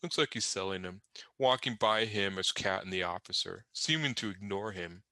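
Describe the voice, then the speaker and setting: A man's voice: low voice, normal speed, sharp tone, no room sound. A man narrating comic panels off the cuff, with wry, rambling, conversational asides. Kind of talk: improvised humorous scene description.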